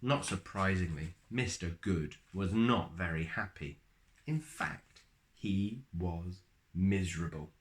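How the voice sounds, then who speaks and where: A man with a lowish voice reads a children's picture book aloud. His delivery is slow and highly animated, swooping across a wide range. He leaves dramatic pauses and stretches out words for effect. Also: a little hiss or hum. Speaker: storyteller reading aloud.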